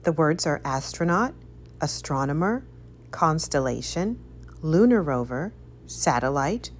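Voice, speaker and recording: A woman reading a rhyming picture book aloud for children in an expressive, sing-song storytelling way. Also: low voice, a little slow, a little hiss or hum. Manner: animated, sing-song, careful storytelling delivery.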